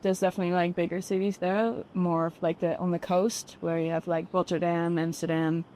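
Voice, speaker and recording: A woman's voice: on the low side, level tone, fairly quick, a little mellow, a little hiss or hum. A woman in her twenties from the Netherlands with a Dutch accent, chatting informally as an interview guest and peppering her talk with "like". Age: 20s. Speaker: podcast guest, fashion model. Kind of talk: casual interview chat.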